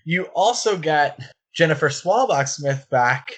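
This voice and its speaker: A man's voice: fairly high pitch, expressive, measured pace, a bit bright, breathy edge. A man talking casually about films as a podcast co-host, enthusiastic and given to rambling asides. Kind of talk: casual film discussion.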